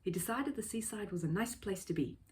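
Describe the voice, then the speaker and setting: Animated woman reading a children's story. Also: mid-range voice, a little fast. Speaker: storybook reader. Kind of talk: expressive children's story narration.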